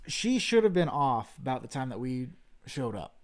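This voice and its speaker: A man's voice: medium pitch, very animated, moderate pace. A man co-hosting a casual livestream chat, telling a long story in a rambling, self-deprecating way. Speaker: stream co-host. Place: home livestream setup.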